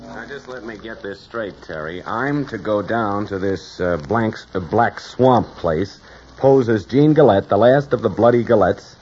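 An actor playing a skeptical, reluctant detective with a dry, sardonic manner in 1940s radio style. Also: male voice, lowish voice, unhurried, a little mellow, lightly acted. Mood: skeptical, wry. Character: wry, skeptical male detective, unhurried and reluctant. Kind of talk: 1940s radio comedy-mystery acting. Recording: dull, noisy old radio recording.

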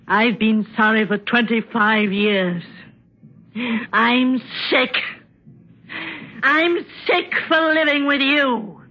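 An actress playing a bitter, drunken, middle-aged colonial wife with a mocking, theatrical manner in a drama. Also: female voice, sits high, sharp tone, heavily acted. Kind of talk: dramatic acting. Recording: dull lo-fi sound with faint noise.

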